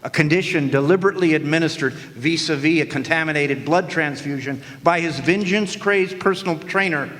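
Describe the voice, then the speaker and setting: A man's voice: a little high, fairly quick, few pauses, a bit bright, close to an everyday voice. A man playing a wisecracking hard-boiled private detective in a radio play, with a theatrical, sardonic delivery. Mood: accusing, cocky. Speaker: narrator and lead actor in radio play. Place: live radio play in a hall. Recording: live stage, long hall reverb.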